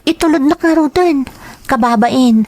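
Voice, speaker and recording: A woman's voice: sits high, normal speed, a little mellow, dry recording, close to an everyday voice. An actress plays a young woman in an audio drama, shy and full of uneasy questions. The sound is dry, with almost no room to it. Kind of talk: Ilocano audio drama acting.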